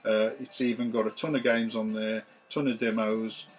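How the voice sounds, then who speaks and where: A man, his voice a bit rough from recovering after losing it, chatting to camera in a relaxed, rambling way with many ums, recorded on a camera's built-in mic in a small room. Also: fairly low pitch, normal speed. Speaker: retro games collector vlogger. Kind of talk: casual chat to camera. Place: small room full of retro computers.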